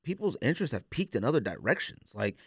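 A man's voice: medium pitch, sing-song, fast, fairly bright tone, breathy edge, dry recording. Candid, rambling man with a close, dry sound. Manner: rambling, candid, uses slang. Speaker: podcast co-host. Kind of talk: casual podcast chat.

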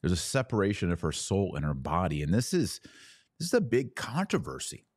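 A middle-aged man giving an informal theological explainer as a podcast host, speaking in an emphatic, didactic way with pauses on key phrases. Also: low-pitched, wildly swooping pitch, breathy edge, no room sound, studio quality.

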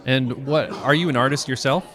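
A man's voice: medium pitch, moderate pace, fairly bright tone, some chatter behind. A man hosting a podcast, asking conference guests short questions with brief, encouraging prompts. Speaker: podcast host. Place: conference venue.

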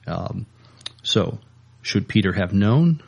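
A man co-hosting a podcast in casual chat, with a hesitant, halting delivery. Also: fairly low pitch, expressive, creaky, breathy edge. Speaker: podcast co-host.

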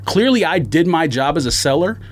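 Animated, higher-pitched man venting on a podcast. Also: a little fast. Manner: exasperated, slangy, acts out quoted exchanges. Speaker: sneaker podcast co-host. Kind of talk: casual podcast rant, telling a story.

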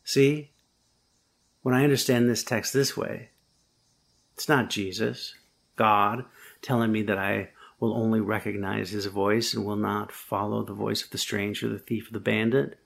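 A man preaching a reflective sermon, slow and thoughtful, building to emphatic points and then telling a first-person story. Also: on the low side, little pitch movement, gravelly.

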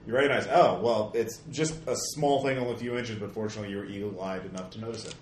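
Casting note: low-pitched male game master, halting delivery, echoey room with faint noise. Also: steady tone. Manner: halting, full of fillers and restarts. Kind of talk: running a tabletop game.

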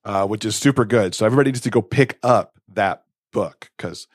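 A man's voice: fairly low pitch, a little fast, dry recording, studio quality. A man chatting casually and effusively about books as a podcast co-host, with emphatic delivery. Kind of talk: casual enthusiastic book chat.

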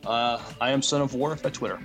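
A man co-hosting a casual podcast, speaking haltingly with many hesitant pauses. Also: medium pitch. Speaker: podcast co-host. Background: loud music bed.

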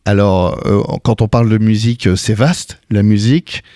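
A man's voice: fairly low pitch, steady tone, moderate pace, warm tone, no room sound, clean studio sound. A male radio host running a morning interview in a friendly way, with many fillers. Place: radio studio.

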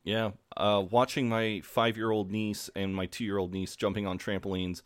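A man's voice: on the low side, level tone, moderate pace, no room sound. A man co-hosting a podcast in casual chat, swearing freely and laughing at himself, congested. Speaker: podcast co-host.

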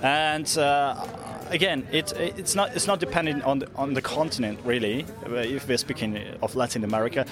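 A man from Russia with a Russian accent, giving measured, explanatory interview answers in a non-native language, often pausing with "let's say". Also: medium pitch, a crowd in the background. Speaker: interviewed security researcher. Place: conference event venue.

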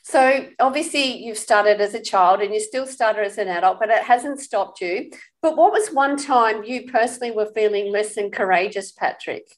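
A woman host keeps an interview going with steady agreement, picking up her guest's words and repeating them. She speaks at an ordinary middle pitch. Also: normal speed. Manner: affirming, echoes the guest's words, frequent yeahs. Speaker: podcast host. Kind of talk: interviewing, affirming conversation.

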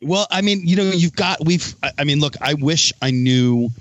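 A man's voice: fairly high pitch, animated, normal speed, no echo. A middle-aged man, a chef hosting a casual podcast, rambling with restarts and casual swearing. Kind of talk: casual chat.

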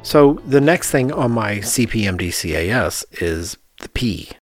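A man's voice: fairly low pitch, big pitch swings, a little slow, dry recording, faint music behind. Man hosting a podcast, close dry sound. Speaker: podcast host. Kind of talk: conversational interview hosting.

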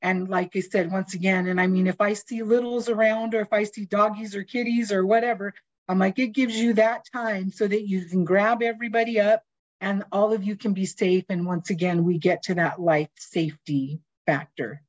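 A woman's voice: mid-range voice, steady tone, normal speed, lo-fi sound. A woman from a home retrofit program talking in a chatty, informal way on a panel. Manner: chatty, informal, many fillers. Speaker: seismic retrofit program staff. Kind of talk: panel discussion.

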